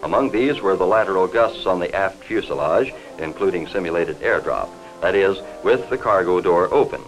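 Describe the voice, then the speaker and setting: A man with an American accent narrates a 1950s industrial film in a fairly low voice. His delivery is formal, measured and factual. It sounds like a vintage film soundtrack, with faint music behind him. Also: low-quality recording. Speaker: newsreel narrator.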